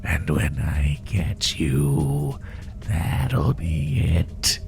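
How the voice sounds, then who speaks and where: A man voicing a sadistic spider monster in a horror roleplay, taunting the listener with menace in a breathy, gravelly half-whisper. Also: rumbling low, sing-song, a little mellow, soft background music, exaggerated voice. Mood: taunting threat. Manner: slow, taunting, menacing, drawn-out phrases. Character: sadistic male spider monster hunting prey through the woods.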